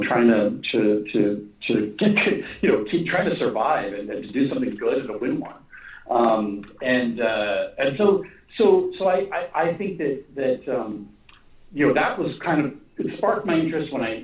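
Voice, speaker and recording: Guy on an interview call, kinda distant and phone sounding, medium pitch, rambles and restarts a lot while thinking out loud. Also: echoey room, phone-quality sound. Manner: halting, rambling, repeats phrases as he thinks aloud. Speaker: animation and comic writer being interviewed. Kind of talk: casual interview conversation.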